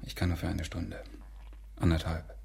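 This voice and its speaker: A man's voice: monotone, normal speed, warm tone, full character voice. An actor playing an irritable, impatient man on a secret visit, muttering curtly in a radio drama. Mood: annoyed, weary. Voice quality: gravelly, husky low voice. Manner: muttering, curt. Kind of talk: German radio drama acting.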